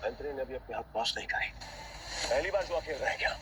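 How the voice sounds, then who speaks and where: A man's voice: medium pitch, lively intonation, fast talker, noisy background, lightly acted. An actor playing an arrogant, mocking male casino gambler in a Hindi audio drama, taunting and swaggering. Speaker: villain gambler Anthony.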